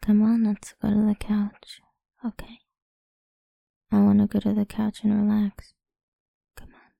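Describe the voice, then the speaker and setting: A woman whispering a slow, doting and teasing ASMR roleplay in a breathy voice. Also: medium pitch, monotone, mellow, no echo, studio quality.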